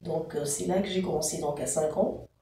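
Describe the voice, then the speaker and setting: A woman from the Democratic Republic of the Congo with a Congolese French accent, slowly telling her life story as an interview guest, hesitating often with "euh". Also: sits low, steady tone, mellow, slight background noise. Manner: hesitant, frequent "euh" fillers. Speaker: show creator, interviewee. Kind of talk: slow, measured personal storytelling. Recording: distant, echoey room with faint ambience.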